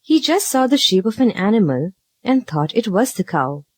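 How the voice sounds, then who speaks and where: A woman narrating a children's story from a script, with an expressive, dramatic read-aloud delivery. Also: medium pitch, moderate pace, dry recording.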